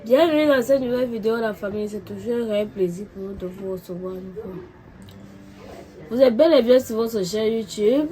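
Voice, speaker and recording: A woman's voice: mid-range voice, normal speed, rough recording. Woman, stuffy with a cold, chatty vlogger mom, music under her voice. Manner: chatty, repeatedly addresses viewers as family. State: congested with a cold and cough. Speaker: vlogger mother. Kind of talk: casual family vlog talk.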